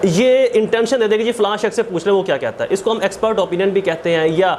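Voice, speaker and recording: High-pitched Pakistani male lecturer. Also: a little hiss or hum. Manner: didactic, repeats phrases for emphasis. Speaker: law lecturer. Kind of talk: explanatory lecture mixing read-out statute with examples.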